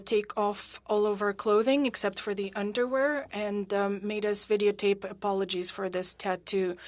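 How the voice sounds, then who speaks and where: A woman interpreting witness testimony at a hearing into English, halting and pausing often with fillers. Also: medium pitch, no room sound. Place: interpreter booth at a parliamentary hearing.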